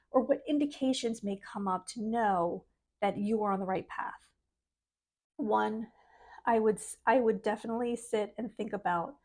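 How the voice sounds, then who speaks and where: Middle-aged woman, soft voice, slightly high, earnest casual vlog delivery. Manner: earnest, chatty, laughs between thoughts. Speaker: spiritual vlogger. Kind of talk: casual talk to camera.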